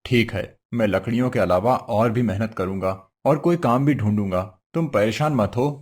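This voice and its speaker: A man's voice: on the low side, normal speed, darkish tone, lightly acted. A man voicing a poor, simple woodcutter husband in a cartoon story, earnest, meek and fretful. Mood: reassuring, earnest. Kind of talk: Hindi cartoon story voice acting.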